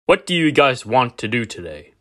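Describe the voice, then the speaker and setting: A man's voice: mid-range voice, sing-song, normal speed, loud music behind the voice, lightly acted. A man voicing an earnest toy race car talking with team-mates in an amateur fan dub. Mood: earnest, conversational.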